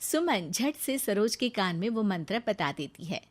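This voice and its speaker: A woman narrates a moral story from a script. Her voice is mid-pitched, and she tells the tale as a storyteller, linking the scenes between characters. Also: big pitch swings, unhurried.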